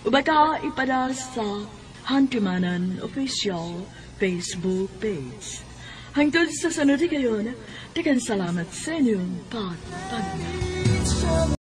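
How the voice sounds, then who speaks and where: A woman's voice: high-pitched, very animated, slow, soft background music, low-quality recording. A woman with a Cebuano accent hosting a radio drama, reading scripted announcements to listeners. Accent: Cebuano Filipino.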